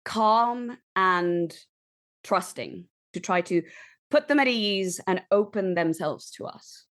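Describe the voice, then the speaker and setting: A woman's voice: mid-range voice, animated, slow pace. A woman playing a tabletop roleplaying game, chatting casually as she works out characters and story. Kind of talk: casual improvised game chat.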